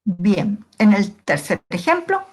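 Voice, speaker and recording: Female language teacher, medium pitch, unhurried and deliberate pace, explains grammar step by step and answers her own questions. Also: sing-song, husky. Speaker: English teacher.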